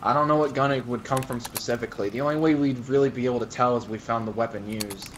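A man's voice: mid-range voice, fast. Hesitant man in noisy game chat. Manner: hesitant, casual, thinking aloud. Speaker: roleplay gamer playing an investigator. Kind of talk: improvised in-character game roleplay chat.

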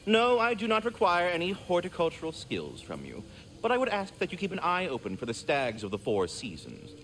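Prim squirrel caretaker, wildly swooping intonation, courteous, wordy, sardonic, video game character, faint ambience. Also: male voice, high voice, huge pitch swings, a little fast, a bit bright, a little hiss or hum, full character voice, poor audio. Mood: politely explaining. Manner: verbose, formal, fussily polite, sardonic.

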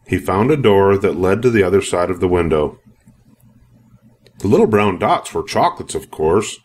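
An older man narrates a children's storybook in a fairly low voice. He reads expressively for young listeners, in a scripted read-aloud style. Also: animated, normal speed, slightly rough.

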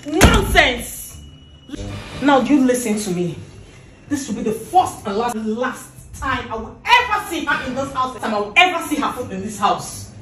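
A woman's voice: sits high, big pitch swings, measured pace, heavily acted. A woman playing a young wife who scolds her sister-in-law and orders her out of the house. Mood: furious. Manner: loud, scolding, repeats demands. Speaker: wife in a comedy skit. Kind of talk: family quarrel skit. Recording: echoey room.

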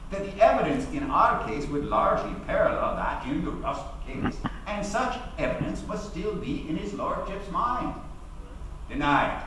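An actor playing a defiant labour activist in a theatrical dramatic reading, a middle-aged man with emphatic, oratorical, deliberate phrasing. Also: a little high, a bit bright. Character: defiant labour activist recounting his sedition trial. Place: large hall, at a lectern on stage. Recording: echoey hall, distant mic, faint noise.

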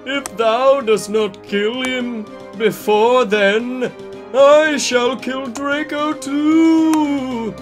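A man voicing an evil, cruel dark wizard with a raspy voice and archaic, menacing speech in a comedic fan fiction reading. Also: very high voice, faint music behind, pitched above the performer's own voice, heavily acted. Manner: slow, drawn-out menacing delivery, cackling.

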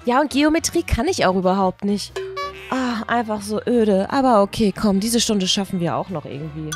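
A woman's voice: medium pitch, expressive, normal speed, faint music behind, lightly acted. A woman voicing chatty, dramatic teenage schoolgirls in improvised doll-play storytelling, with exaggerated teen slang. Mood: whiny, bored.

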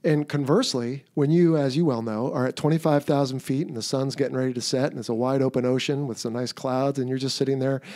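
A man's voice: mid-range voice, steady tone, quick pace, few pauses, warm tone, no room sound, studio quality. A middle-aged man hosting a podcast, a retired Navy pilot, chatting in a friendly, upbeat way with his guest. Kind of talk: casual interview hosting.

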